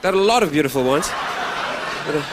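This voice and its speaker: A man's voice: on the high side, animated, quick pace. A man in his thirties doing a stand-up comedy routine, talking to the crowd and mimicking sounds and voices. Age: 30s.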